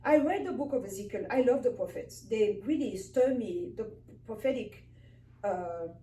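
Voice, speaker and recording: A woman minister preaches in with a fairly high, mellow voice. She speaks earnestly and emphatically, repeating phrases for emphasis. She is recorded from a distance in an echoey room. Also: animated, moderate pace. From Africa. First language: Swahili. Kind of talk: personal testimony sermon. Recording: echoey room, distant mic, faint hiss.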